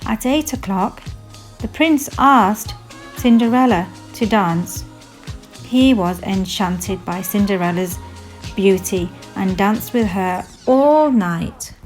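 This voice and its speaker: A woman narrating a children's storybook in a measured, expressive read-aloud manner. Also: medium pitch, low-quality recording. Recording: music behind the voice. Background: music.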